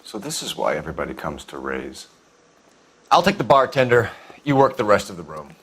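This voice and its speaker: An actor playing a brisk, stern American police detective in a crime drama game, with clipped, formal questioning. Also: male voice, lowish voice, big pitch swings, a bit bright, a little hiss or hum. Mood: businesslike. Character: brisk, stern young male police detective, all business.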